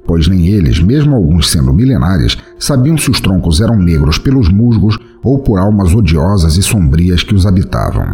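A man narrates a dark fantasy story in a low, mellow voice. His storytelling is theatrical and scripted, with faint music playing behind him.

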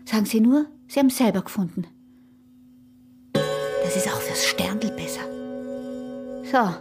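An actress playing a defiant, seductive smuggler's wife in a radio drama, with an Austrian accent and a taunting, commanding manner. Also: female voice, medium pitch, expressive, a little slow, music in the background. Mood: coaxing, sly. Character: defiant, seductive smuggler's wife, scornful and newly self-assured.

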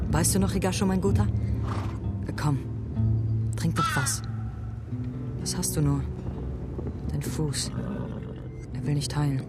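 Solemn young warrior narrator over loud music, male actor. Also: on the high side, natural voice. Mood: tender. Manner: storytelling, solemn, earnest. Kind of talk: dramatic first-person narration in radio play.

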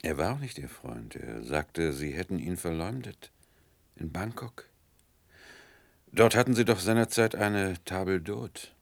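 An actor in a German radio drama playing a cool, menacing gentleman drifter, clipped and insinuating in manner. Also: low-pitched, lively intonation, a little slow, vocal fry, no room sound, full character voice.

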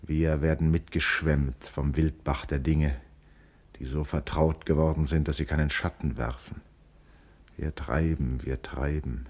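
A reflective, brooding man with an extremely deep, raspy voice. He speaks lyrical monologue in a poetic radio drama. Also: steady tone, measured pace, darkish tone.

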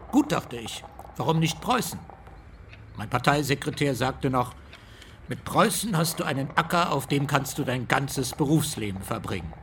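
An actor playing an older, self-satisfied East German Marxist historian reminiscing in measured, conversational radio drama monologue. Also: male voice, medium pitch, gravelly, a little hiss or hum.

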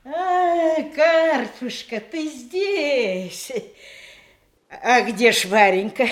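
An affectionate old country matron in a radio comedy. Her voice is high and lilting, and she takes her time. She gushes in old-fashioned folksy verse, fond of the old days. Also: animated, extremely slow, full character voice. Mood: delighted, curious. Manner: gushing, doting, old-fashioned folksy speech.

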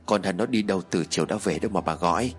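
A man voicing an irritable older husband, gruff and complaining. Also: deep voice, fast, natural voice. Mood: irritated.

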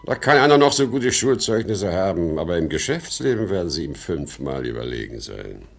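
A man's voice: deep voice, very animated, a little fast, gravelly, heavily acted. An actor playing a boastful, insecure aging travelling salesman in a 1950s German radio drama, talkative and exclamatory. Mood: proud, fatherly. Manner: talkative, exclamatory, swinging from bragging to self-doubt.

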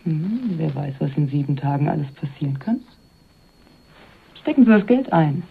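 A noisy, narrow-band radio play recording features a cool, coy woman. Her voice is mid-pitched and swoops freely as she teases and brushes off an admirer. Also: lively intonation, normal speed. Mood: cool, teasing. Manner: coy, dismissive, teasing. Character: cool, teasing woman keeping an admirer at bay. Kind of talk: German radio crime drama acting. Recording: phone-like old radio drama, clear noise.

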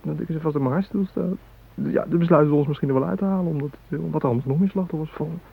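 An actor in a radio play playing a captive hostage with a husky voice, chatty and wry with nervous gallows humour. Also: male voice, on the high side, rapid-fire. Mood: wry, joking. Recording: tinny old radio recording.

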